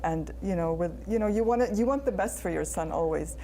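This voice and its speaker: Mellow-voiced woman chatting as a guest. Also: lowish voice, a little fast, a little hiss or hum. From Jordan. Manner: chatty, laughs often, many ums. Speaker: guest, real estate agent. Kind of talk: casual interview chat. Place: indoor studio.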